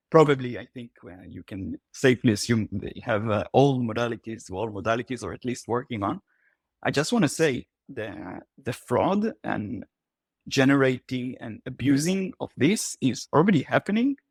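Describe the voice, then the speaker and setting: Un hombre que participa como invitado en un panel informal y habla con titubeos y pausas frecuentes. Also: male voice, mid-range voice, slightly rough, no room sound. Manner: hesitant, full of pauses. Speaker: panel guest. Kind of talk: casual panel discussion.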